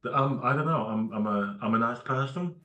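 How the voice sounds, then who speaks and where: A man's voice: mid-range voice, brisk, sharp tone, reverberant, lo-fi sound, soft background music. A man answering as an interview guest, talking in a hesitant, laid-back and chatty way. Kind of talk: casual video call interview.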